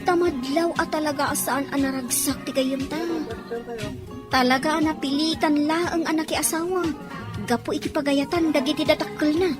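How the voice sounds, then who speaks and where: Actress as a very high-voiced, chatty woman. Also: steady tone, moderate pace, over music, close to an everyday voice. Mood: gossipy. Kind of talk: radio drama acting.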